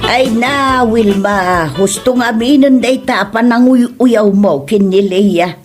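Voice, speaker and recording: A woman's voice: on the high side, slow, faint music behind. A woman playing an indignant, whining village woman in a comedy audio drama, with an Ilocano accent. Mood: hurt, complaining. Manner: whining, exclamatory complaining. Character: touchy, indignant village woman Wilma, insulted over her face.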